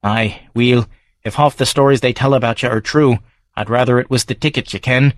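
A man's voice: medium pitch, steady tone, a little fast, no room sound, lightly acted. Surly Scottish pub drinker voiced by a male narrator; blunt, heavy dialect. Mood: wary. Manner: blunt, defensive, broad dialect.

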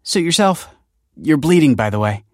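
A male narrator puts on a sceptical young woman with a breezy, offhand manner. The voice is lifted in pitch and lightly animated, recorded dry in a storybook style. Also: brisk, dry recording. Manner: light, offhand, faintly judgmental. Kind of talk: audiobook character voice.

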